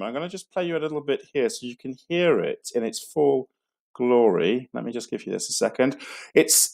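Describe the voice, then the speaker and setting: Enthusiastic man leading a school assembly. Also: medium pitch. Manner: enthusiastic, digressive, speaks directly to students. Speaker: school leader. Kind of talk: online school assembly talk.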